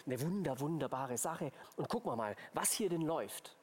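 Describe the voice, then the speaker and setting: A man's voice: a little high, expressive, moderate pace, husky. A man presenting live teleshopping with an emphatic, conversational and enthusiastic delivery. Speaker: shopping TV presenter. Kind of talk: live teleshopping sales pitch. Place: large hall studio.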